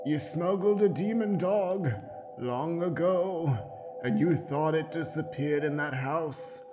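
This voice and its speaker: A man's voice: on the high side, slow, over music. A man voicing the disapproving, dramatic ghost of a father in an improvised comedic radio play. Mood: grumbling.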